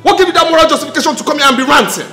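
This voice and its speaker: A man's voice: medium pitch, wildly swooping pitch, normal speed, fairly bright tone, roomy sound, soft background music. An actor playing a flustered, evasive young man caught two-timing, stammering and repeating himself in a film melodrama. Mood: indignant shouting. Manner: stammering, repetitive.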